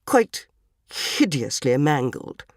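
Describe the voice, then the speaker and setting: A woman voicing a gallant gentleman doctor in a story, formal, solemn and measured. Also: very deep voice, big pitch swings, dry recording, studio quality, voice pushed down, full character voice.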